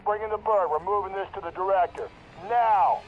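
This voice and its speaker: An actor playing an impatient military squad leader barking clipped orders in a video game. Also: male voice, sits high, a little fast, dull audio, slight background noise, natural voice. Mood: urgent, impatient. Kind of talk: game cutscene acting.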